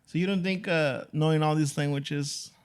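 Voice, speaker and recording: A man's voice: on the high side, fairly even intonation, clear, resonant tone. A man whose first language is Spanish, hosting a podcast in a laid-back, casual way. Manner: laid-back, drawn-out "dude" and "you know" fillers. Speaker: podcast host. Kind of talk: casual chat.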